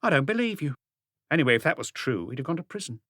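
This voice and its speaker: A wary, curt woman, put on by a male reader in a detective audiobook. The pitch is lifted well up, the manner defensive and dismissive, and the sound dry. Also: sing-song, fast talker, fairly bright tone, no room sound.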